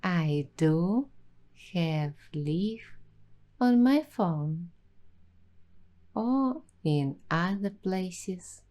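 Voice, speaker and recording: A woman hosting an ASMR roleplay, talking softly and slipping into whispers now and then. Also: lowish voice, expressive, drawn-out words, long pauses. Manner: soft-spoken, slipping into whispers. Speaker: ASMR host.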